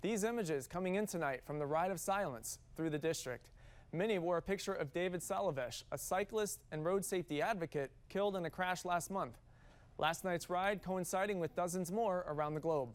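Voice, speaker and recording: A man's voice: high voice, fairly quick, smooth. A man with an American accent reading scripted television news as an anchor.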